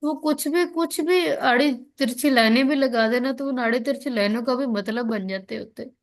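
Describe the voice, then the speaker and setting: Higher-pitched Pakistani woman teaching. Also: normal speed. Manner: checks understanding often, asks and answers own questions. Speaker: law lecturer. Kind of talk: explanatory online lesson, reading out and explaining legal text.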